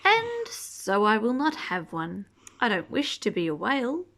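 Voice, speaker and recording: A woman's voice: a little high, huge pitch swings, unhurried, a bit bright. A woman reading a children's picture book aloud in a playful manner, drawing out words for emphasis. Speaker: storybook reader. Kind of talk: animated children's read-aloud with asides.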